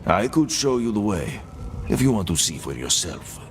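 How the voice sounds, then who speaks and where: A man playing a weary, sly old prisoner in a video game, musing in measured, deliberate phrases. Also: fairly low pitch, big pitch swings, slight background noise. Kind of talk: dramatic video game acting.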